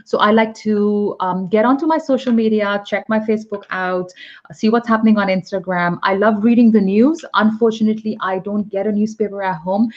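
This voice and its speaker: A woman's voice: mid-range voice, fairly even intonation, a little fast, muffled recording. A woman from Pakistan teaching English on a live video call, chatty and explaining with examples and many fillers. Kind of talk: live online English lesson.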